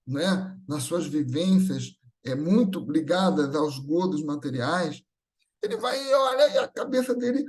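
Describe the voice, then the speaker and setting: A Brazilian man speaks in a notably high voice, teaching at a spiritual gathering. He explains in a didactic, semi-improvised way, repeating phrases for emphasis and checking in with short tag questions. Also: lively intonation, unhurried, a little mellow. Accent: Brazilian Portuguese.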